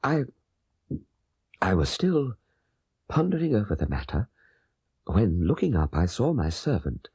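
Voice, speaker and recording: A man voicing a guilt-ridden, dying old father in an audiobook, halting and laboured, with a breathy voice that fades into whispers. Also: fairly low pitch, sing-song, darkish tone, no echo, heavily acted. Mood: haunted, halting. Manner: halting, laboured deathbed speech. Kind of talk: dramatic audiobook character voice.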